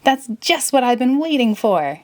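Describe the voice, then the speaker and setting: A woman's voice: high-pitched, very animated, normal speed, pitched above the performer's own voice. A woman voicing an eager, earnest, cheerful male cartoon sea sponge for a storybook. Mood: excited. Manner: eager, exclamatory, fussy.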